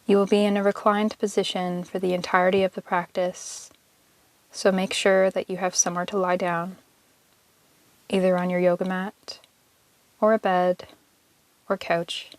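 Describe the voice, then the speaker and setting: A woman leading a guided yoga nidra meditation as the instructor, speaking in a calm, measured, soothing way with long pauses. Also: lowish voice, no room sound, poor audio.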